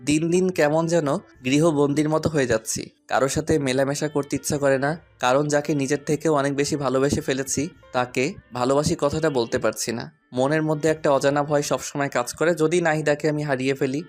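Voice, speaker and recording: A man's voice: on the high side, fairly even intonation, fairly quick, lightly acted. A man playing a shy, lovesick young college student who narrates his own romantic audio story. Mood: wistful. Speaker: narrator and lead actor. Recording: music behind the voice. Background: background music.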